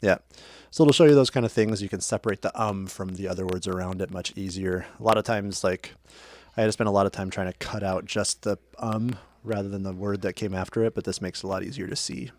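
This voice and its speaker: Low-voiced man explaining his editing. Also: steady tone, fast, darkish tone. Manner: chatty, self-correcting, talks while working. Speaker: audio editing tutorial host. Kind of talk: casual screen-share tutorial.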